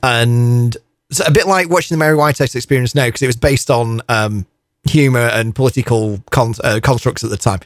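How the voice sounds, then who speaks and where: A man's voice: medium pitch, a little fast, no echo, clean studio sound. A man hosting a radio show in casual on-air chat, rambling with restarts and asides. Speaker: radio DJ host.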